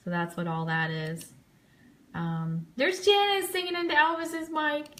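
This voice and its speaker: A woman's voice: sits high, little pitch movement, measured pace, a bit bright. A woman giving casual live commentary on trip photos as host of an online fan show, chatty and enthusiastic.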